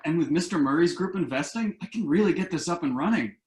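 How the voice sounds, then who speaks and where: A man acting in a play reading as an earnest, slightly flustered hopeful entrepreneur, speaking haltingly. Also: fairly high pitch, lively intonation, a little mellow, lightly acted. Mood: relieved, grateful. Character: earnest adult son and hopeful entrepreneur, husband, somewhat flustered. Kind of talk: virtual stage play reading. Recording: roomy, echoing home recording.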